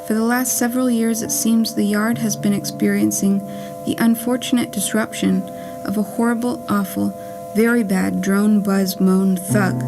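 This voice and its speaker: A woman reading a scripted poetic monologue for a puppet play as a wry, whimsical letter writer from beyond the grave, in a measured, deliberate way. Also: medium pitch, close to an everyday voice, rough recording. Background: music.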